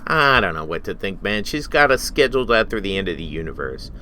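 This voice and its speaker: A man's voice: lowish voice, sing-song, a little fast, bright, forceful tone, slight background noise. A man playing a casual, bewildered podcast colleague in an audio drama, swearing and unsure. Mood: wavering. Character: bewildered easygoing male podcast producer.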